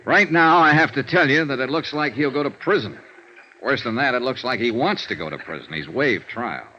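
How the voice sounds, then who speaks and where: An actor playing a wry, persistent insurance investigator in a vintage radio noir drama, clipped and probing. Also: male voice, mid-range voice, expressive, moderate pace, pushed and bright, a little hiss or hum. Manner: clipped expense-account narration, probing questioning. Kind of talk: 1950s radio noir acting, narration and dialogue. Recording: vintage radio recording, dull, music behind.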